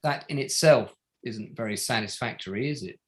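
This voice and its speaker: A man playing a pointed, methodical barrister in a courtroom drama table read, cross-examining formally and deliberately with leading questions. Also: medium pitch, lightly acted. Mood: pointed, critical. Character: pointed, methodical male barrister for bereaved families.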